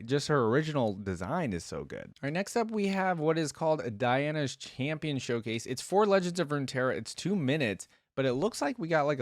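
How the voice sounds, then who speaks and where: A man hosting a reaction video, talking casually and enthusiastically and reading bits of text aloud. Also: mid-range voice. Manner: enthusiastic, informal.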